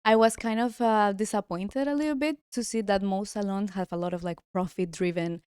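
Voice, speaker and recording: A young woman with a Colombian accent and Spanish as her first language chats casually as a guest. Her mid-pitched voice is strikingly clear and smooth. The sound is dry, with little room echo. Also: fairly even intonation, fairly quick, a little mellow. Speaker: interview guest, studio owner. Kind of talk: casual chat.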